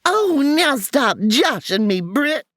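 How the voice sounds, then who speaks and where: Brief: actress as a fussing elderly landlady, slow high voice, dry sound. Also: big pitch swings, fairly bright tone, no room sound, exaggerated voice. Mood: flustered. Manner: flustered and fussing. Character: fretful older woman who runs a rooming house. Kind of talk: comedy radio drama acting.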